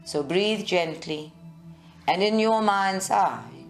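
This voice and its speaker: A woman guiding a live meditation in a measured, soothing way, with long pauses. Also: mid-range voice, animated, faint music behind. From South Africa. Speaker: meditation guide. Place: group meditation session at a centre, recorded live.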